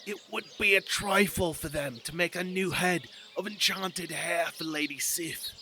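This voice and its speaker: Male actor as scheming trickster god, audio drama, gravelly edge, sly and wheedling, raised pitch, noisy outdoor ambience. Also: measured pace, sharp tone, exaggerated voice. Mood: smooth flattery. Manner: wheedling, slyly theatrical. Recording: noticeable background noise.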